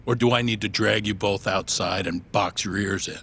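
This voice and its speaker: An exasperated older office boss in a video game. The voice is lowish and gravelly, with a stern, weary manner. A faint rumble sits behind it. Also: male voice, steady tone, moderate pace, fairly bright tone. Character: exasperated older male boss scolding his staff. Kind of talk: video game cutscene acting.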